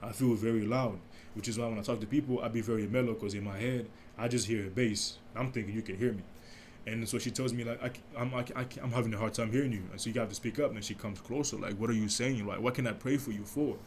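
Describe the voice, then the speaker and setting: A young man with a deep, mellow voice hosting a casual solo podcast, telling a story with many restarts and asides. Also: steady tone, fast, slight background noise. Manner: rambling, many restarts and asides, speaks softly. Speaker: podcast host.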